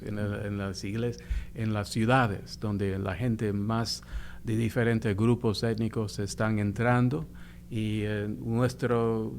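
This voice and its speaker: An older man, a pastor, answering interview questions slowly with many fillers and restarts. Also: on the low side, darkish tone, a little hiss or hum. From United States. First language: Spanish heritage, raised in New York. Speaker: pastor, church official.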